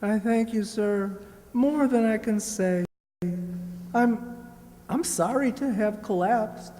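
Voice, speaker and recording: A woman's voice: a little high, slow pace, mellow, slight background noise. A woman playing a polite, earnest poor boy in an amateur radio play, hesitant and deferential. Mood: weak, grateful. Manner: deferential, hesitant, eager to please. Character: polite, earnest, humble poor boy seeking work in London. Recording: echoey hall, long reverb.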